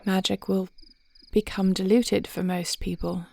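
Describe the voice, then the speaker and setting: A regal, thoughtful dragon queen acted by a woman speaks privately to her beloved in a fantasy roleplay. Her voice sits at a middling height with noticeable creak. The phrasing is formal, reflective and tender. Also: mid-range voice, little pitch movement, measured pace, darkish tone. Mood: reflective, explaining. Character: thoughtful, devoted dragon queen wife planning the future. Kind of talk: intimate fantasy audio roleplay acting.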